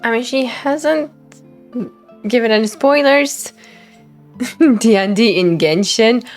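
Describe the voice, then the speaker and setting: A woman streamer giving gushing, excitable live commentary while playing a video game. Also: a little high, takes it slowly. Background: game music.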